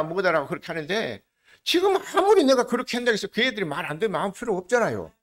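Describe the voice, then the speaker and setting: Older male pastor, regional dialect, raised pitch, chatty sermon full of questions to the pews. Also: fairly bright tone. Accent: regional Korean dialect. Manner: conversational preaching, asks the congregation questions; slows down to lead scripture reading together.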